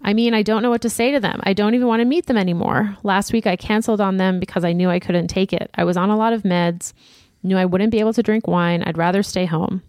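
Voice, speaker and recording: A woman co-hosting a podcast, reading listener emails aloud in a steady, plain voice. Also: mid-range voice, quick pace, no room sound, studio quality. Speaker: podcast co-host.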